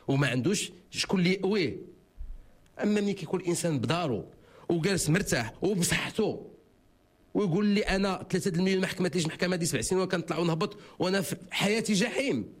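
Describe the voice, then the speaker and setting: A man with a Moroccan accent giving legal advice to callers on a radio call-in show, fast and insistent. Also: sits high, husky. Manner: fast, insistent, repeats himself. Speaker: legal expert answering callers.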